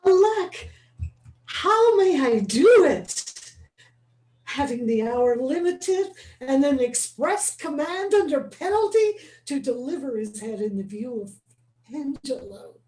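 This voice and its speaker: A woman playing a dutiful male prison provost in a Shakespeare scene rehearsal, speaking verse in a slow, measured way. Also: high voice, big pitch swings, darkish tone, exaggerated voice. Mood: earnest. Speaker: acting student. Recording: roomy and distant.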